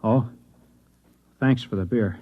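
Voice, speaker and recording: An actor playing a dismal, shaken Martian man, halting and earnest, in an old-time radio drama. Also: fairly low pitch. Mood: gloomy, uneasy. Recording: dull old radio recording.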